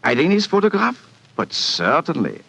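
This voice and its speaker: An actor playing a pompous, courteous European king in a 1940s radio drama, speaking in a formal, halting way. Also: on the high side, wildly swooping pitch, gravelly, bright, forceful tone, breathy edge, full character voice. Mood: grateful, courtly. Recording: vintage 1940s radio recording.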